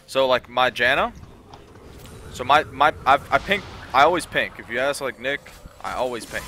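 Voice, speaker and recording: A man's voice: medium pitch, lively intonation, moderate pace, a little hiss or hum. Brief: young male streamer, home desk mic, ranting play-by-play, profane and easily riled. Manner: profane, ranting, bursts into loud frustration. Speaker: gamer streamer. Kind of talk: casual gameplay commentary. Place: small room at home, indoors.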